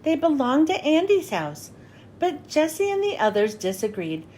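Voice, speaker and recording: A woman narrating a children's storybook as a read-aloud host, with expressive, clearly phrased delivery. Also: high-pitched, normal speed, a little hiss or hum.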